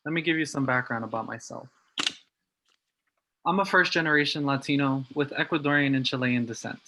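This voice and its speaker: A young man from New Jersey giving a prepared talk in an earnest, steady way. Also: mid-range voice, a little fast, slight background noise, rough recording. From New Jersey, USA. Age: young adult. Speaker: student speaker.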